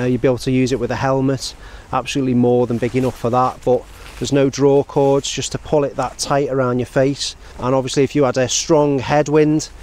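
A British man in his forties talks through a gear review in a measured, conversational manner. His voice sits at a middling pitch, recorded outdoors with faint noise behind him. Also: darkish tone. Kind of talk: casual product review talk to camera. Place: outdoors in open countryside.

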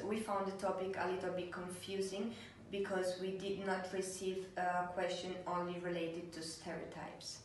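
A young woman presents to her class, stalling on frequent ums and uhs. She speaks in a flat, lowish voice. A distant camera catches her in a strongly echoing room. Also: slight background noise. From Italy. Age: young adult. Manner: hesitant, many um and uh fillers. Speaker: student presenter. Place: classroom with a large screen. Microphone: far camera. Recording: echoey room, camera far off.

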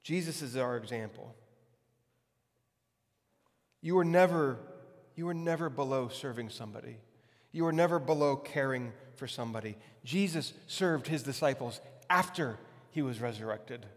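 A man in his forties preaching a conversational sermon as a pastor, with emphatic repetition and deliberate pauses. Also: medium pitch. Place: large hard-walled church hall, on stage. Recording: long hall reverb.

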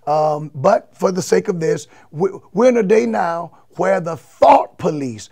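An older man, a bishop, with a raspy voice and a touch of a cold, emphatically inviting listeners to a church service from his study. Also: high-pitched, unhurried. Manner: emphatic, animated hand gestures. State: under the weather. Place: home office study with bookshelves. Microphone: lapel mic, seated at desk.

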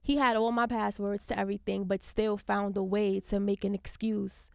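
A young woman from Harlem talks in a soft, laid-back way, with a gravelly edge under her voice. She sits at a studio boom mic, and the sound is dry and close. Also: little pitch movement, moderate pace, no room sound. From Harlem, New York. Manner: laid-back, chatty, laughs often. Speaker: guest artist. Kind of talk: casual interview chat. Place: radio station studio. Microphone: studio mic on boom arm.

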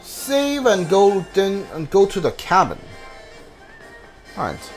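A man giving casual video game commentary, halting and mumbling as he reads text from the screen. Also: high-pitched, big pitch swings. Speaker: gaming streamer. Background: video game music.

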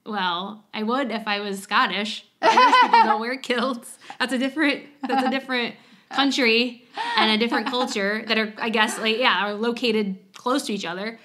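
A woman hosting a casual podcast, chatty and quick to laugh. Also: on the high side, sharp tone. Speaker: podcast host.

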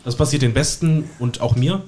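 A man's voice: medium pitch, normal speed, a little mellow, a little hiss or hum. A man chatting casually and talkatively as a podcast guest, telling anecdotes about his work as a magician.

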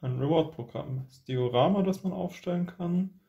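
A man's voice: high voice, normal speed, creaky, dark tone, lo-fi sound. A man hosting an unboxing with casual hands-on commentary, recorded indoors at a table. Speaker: unboxing video host.

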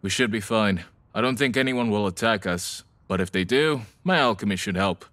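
A man's voice: on the low side, moderate pace, fairly bright tone, natural voice. A man acting a flippant young half-vampire guy. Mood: breezy. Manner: casual, offhand, sweary. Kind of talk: audio drama acting.

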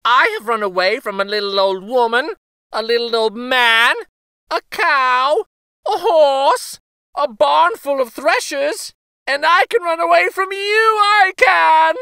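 A man doing a squeaky, cocky gingerbread boy. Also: takes it slowly, bright, forceful tone, dry recording, heavily acted. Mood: gleeful taunting. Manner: boastful sing-song taunting, gleeful cackling. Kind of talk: storybook read-aloud.

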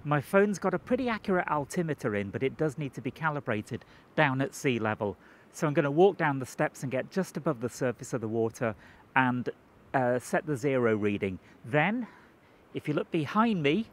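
A man in his forties presenting an explanatory vlog in a conversational, methodical way. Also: medium pitch. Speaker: video presenter. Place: outdoors and inside a moving car. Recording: clear ambient outdoor and car noise.

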